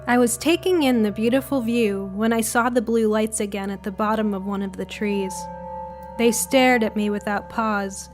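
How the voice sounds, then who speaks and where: A woman narrates a short story in the first person. Her voice sits a little high, and soft music plays behind her scripted, storybook-style reading.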